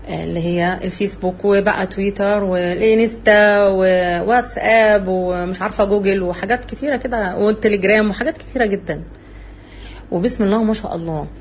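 A woman with an Egyptian Arabic accent giving an earnest religious talk, telling her own story in an animated way. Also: on the high side, a little hiss or hum. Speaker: religious speaker.

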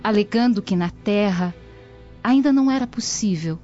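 A woman's voice: medium pitch, moderate pace, warm tone, close to an everyday voice. An actress playing a devout, lovestruck young Roman noblewoman, reminiscing tenderly and solemnly in a radio drama. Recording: dull lo-fi radio drama audio. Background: music.

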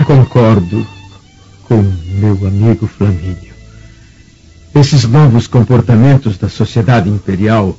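A mature Roman nobleman in a radio drama, composed and dignified. His voice is dark and fairly low, with a formal, measured delivery. The sound is muffled, with soft music underneath. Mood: grave concern. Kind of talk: Brazilian radio drama acting.

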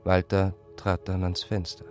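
A man's voice: low-pitched, monotone, a little slow, faint music behind. A man reading scripted literary narration for a radio production.

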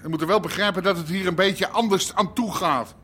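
Coarse crime boss, highish voice. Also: male voice, brisk, a bit bright, slight background noise. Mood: irritated, pressing. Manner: coarse, swearing, evasive. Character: blustering, cagey Amsterdam underworld boss. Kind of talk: Dutch radio drama acting.

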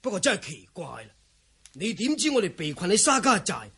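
An actor playing an older, loyal martial manor lord in a Cantonese radio drama, exclamatory and swooping in speech. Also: male voice, high-pitched, big pitch swings, fairly quick, sharp tone, breathy edge, full character voice. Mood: puzzled.

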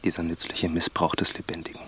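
An actor playing a resolute, brooding farmer in a literary radio drama. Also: male voice, sits low, completely flat delivery, fast, pushed and bright, slight background noise. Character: resolute, brooding farmer dreaming of a new life.

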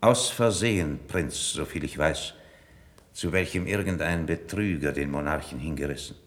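A man's voice: a bass voice, normal speed. An actor playing a formal, courteous envoy of the king in a 1950s German radio drama, speaking with ceremonious deference. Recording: long reverberant stone-hall echo.

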